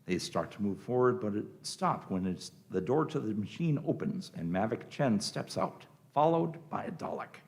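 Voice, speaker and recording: Gravelly-voiced male radio drama narrator. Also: fairly low pitch, expressive, normal speed, hardly any pauses, a little mellow.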